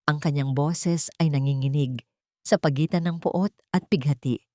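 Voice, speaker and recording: A woman narrates a dramatic story in a low, grounded voice. Her delivery is measured and steady, and the recording is dry and close with no room sound. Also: no echo.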